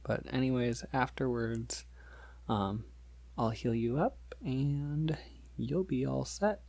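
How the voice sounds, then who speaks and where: A man playing a polite, shy vampire in an ASMR roleplay, speaking softly and haltingly in a gentle, reassuring way. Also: medium pitch, creaky, no room sound. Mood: gentle, explaining. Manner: hesitant, halting, gentle, reassuring, with small nervous chuckles. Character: polite, shy, considerate male vampire on a first feeding date.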